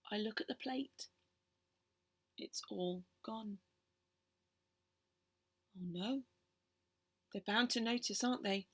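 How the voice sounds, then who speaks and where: A woman reading a children's poem aloud in a theatrical, expressive storytelling manner. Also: a little high, breathy edge. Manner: theatrical, expressive, with hushed whispers and exclamations. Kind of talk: animated children's poem performance.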